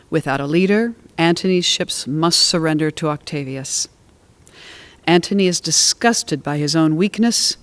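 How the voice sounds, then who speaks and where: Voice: medium pitch, no room sound. Dry, mid-register storytelling narrator. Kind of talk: scripted narration, story synopsis.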